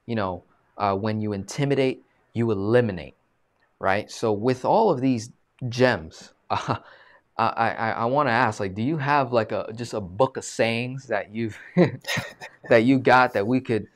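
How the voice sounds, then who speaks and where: A man in his thirties hosting a live video-call interview, animated and full of fillers, speaking from a small room. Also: mid-range voice, unhurried. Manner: animated, gestures a lot, many fillers. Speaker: host. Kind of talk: casual live-stream interview. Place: small room, plain green wall. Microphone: video call webcam.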